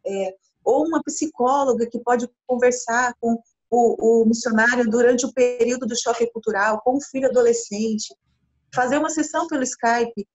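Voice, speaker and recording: A woman's voice: a little high, moderate pace. A Brazilian woman in her thirties, a missionary, talking earnestly in an interview over a video call from a small room. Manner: earnest, expressive with hand gestures. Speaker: missionary guest. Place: small room indoors, sitting beside her husband. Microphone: laptop camera mic on a video call. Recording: dull lo-fi video call audio.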